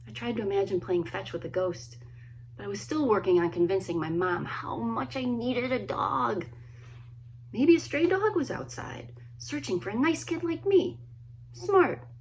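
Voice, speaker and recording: A woman, a children's author, reading a story aloud expressively, with dramatic pauses and hushed emphasis. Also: mid-range voice, slight background noise, poor audio. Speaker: children's book author reading own work. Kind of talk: expressive storybook read-aloud, friendly introduction.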